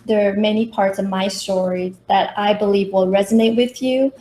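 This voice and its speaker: A woman's voice: medium pitch, steady tone, moderate pace, dark tone. A middle-aged woman from Taiwan giving a prepared workshop presentation with personal stories, as a professional consultant. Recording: roomy echo, faint background noise.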